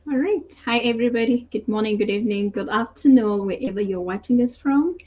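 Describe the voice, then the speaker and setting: Husky woman coach. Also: fairly high pitch, brisk. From Uganda. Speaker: business coach. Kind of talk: casual panel introduction. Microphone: video call. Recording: husky, dull sound.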